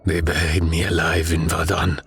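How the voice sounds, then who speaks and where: A man's voice: low voice, level tone, moderate pace, creaky, fairly bright tone, slightly rough, heavily acted. A man voicing a morose, broken dead soldier in an audiobook, with a heavy, mumbling delivery. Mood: resigned, bleak. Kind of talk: dramatic audiobook character voice.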